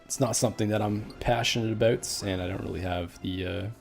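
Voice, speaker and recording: Laid-back young Canadian streamer, deep, gravelly voice, faint music underneath. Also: male voice, steady tone, fairly quick. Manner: laid-back, reads chat aloud, many fillers. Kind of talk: casual live-stream chat while gaming.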